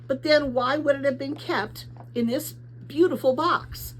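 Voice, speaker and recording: Woman voicing an earnest, high-pitched young girl. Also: measured pace, a little hiss or hum. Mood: earnest, insistent. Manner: eager, questioning, hesitant. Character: curious, earnest, polite young girl messenger in a storybook.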